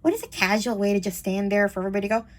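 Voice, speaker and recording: A woman's voice: mid-range voice, fairly even intonation, racing. A woman with a childish-sounding voice, chatting casually and frankly to her viewers, rambling with lots of fillers. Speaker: vlogger. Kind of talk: casual chat to camera.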